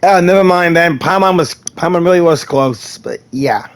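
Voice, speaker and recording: Playful gamer with a mid-pitched voice. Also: normal speed. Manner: playful, informal. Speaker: gamer, streamer. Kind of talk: casual game streaming commentary, reading dialogue aloud.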